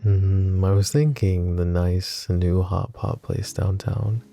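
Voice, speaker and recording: A gravelly, tender mafia boss. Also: male voice, low voice, fairly even intonation, takes it slowly, soft background music, full character voice. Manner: soft-spoken, teasing, intimate. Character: brooding male mafia boss, wry and tender. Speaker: voice actor. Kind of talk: ASMR roleplay.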